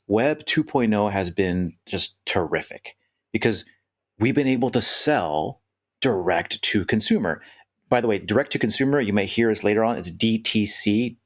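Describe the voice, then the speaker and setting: A middle-aged man co-hosting a wine podcast, who talks in a loose, rambling, self-deprecating way. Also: lowish voice. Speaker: podcast co-host, wine expert. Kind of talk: casual podcast chat.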